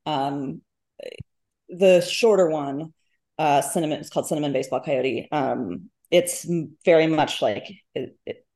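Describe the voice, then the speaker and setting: Low-pitched woman, thoughtful and halting. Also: warm tone. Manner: thoughtful, halting, self-correcting. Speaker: guest author, short story writer. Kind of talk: casual literary interview chat.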